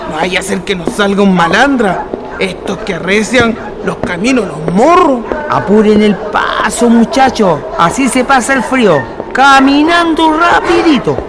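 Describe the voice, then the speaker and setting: Casting: man playing drunk Chilean villager, full of bluster, unhurried but lively intonation, radio drama. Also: high voice, crowd noise, background noise. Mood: uneasy bluster.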